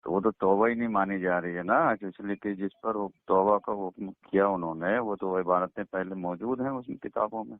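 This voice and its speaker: Very deep, gravelly man on the phone. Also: fairly quick, few pauses, dry recording. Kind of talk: phone conversation, brief explanatory answers. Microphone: phone call. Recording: narrow, tinny phone line.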